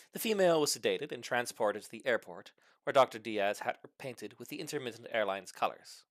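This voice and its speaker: A man's voice: mid-range voice, a bit bright, no echo, studio quality. A man reading a funny short story aloud as scripted narration, with theatrical, mock-serious emphasis. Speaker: story reader.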